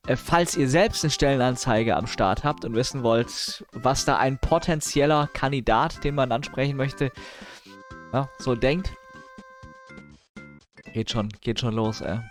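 A man chatting casually as a live streamer, mixing in English gamer slang and grumbling asides. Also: medium pitch. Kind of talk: casual livestream chat, reacting to news and viewers.

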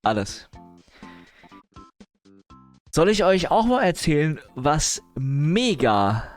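A man's voice: a little high, very animated, slow pace, faint music behind. A man chatting casually as a live streamer, mixing in English gamer slang and grumbling asides. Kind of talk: casual livestream chat, reacting to news and viewers.

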